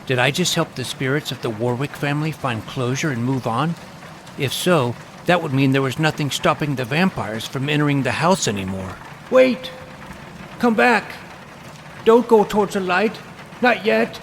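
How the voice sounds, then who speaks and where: A man's voice: a little high, animated, normal speed. A man playing a serious, imaginative twelve-year-old vampire hunter, narrating his own story in a dramatic, bookish way. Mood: desperate pleading. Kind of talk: scripted first-person story narration. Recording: noisy ambient background.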